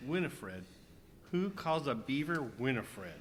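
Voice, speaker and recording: A man's voice: a little high, very animated, takes it slowly. A man playing a grumbling, sarcastic castaway in an amateur radio comedy skit. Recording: faint room noise and ambience.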